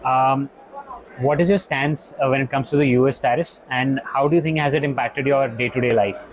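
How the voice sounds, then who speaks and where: A man's voice: mid-range voice, fairly even intonation, normal speed, slight background noise. A man hosting an interview podcast, giving a scripted welcome and then short, brief questions. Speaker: host. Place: exhibition hall.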